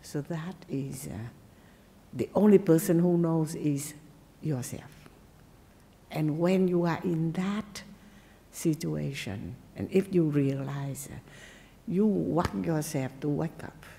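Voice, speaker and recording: A woman Buddhist nun, not a native English speaker, giving a gentle dharma talk with simple phrasing and pauses. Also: low voice, creaky, long reverb tail. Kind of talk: dharma talk and meditation teaching.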